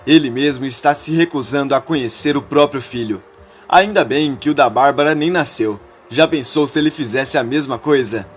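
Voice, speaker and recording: A man's voice: medium pitch, moderate pace, natural voice. A man voicing a smug young schemer who exposes a secret in a retold soap-opera story.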